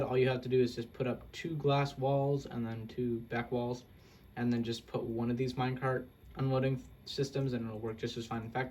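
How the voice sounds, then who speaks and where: A man's voice: medium pitch, darkish tone, a little hiss or hum. A young man casually walking through a game build, rambling and correcting himself with self-deprecating asides. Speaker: Minecraft video creator. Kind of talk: casual gameplay walkthrough.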